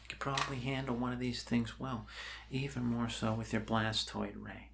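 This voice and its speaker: A man in an ASMR role-play playing a friendly doctor who rambles casually and haltingly to reassure an alien patient. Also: mid-range voice, little pitch movement, gravelly, fairly bright tone, a little hiss or hum. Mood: friendly explaining.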